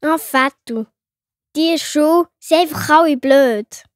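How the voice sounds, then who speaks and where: A woman's voice: high voice, slow, dry recording, studio quality. A woman playing a sensitive young schoolgirl in a children's audio drama, childlike, with a Swiss German accent. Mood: hurt, sulky. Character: sensitive young schoolgirl, easily hurt, trusting daughter.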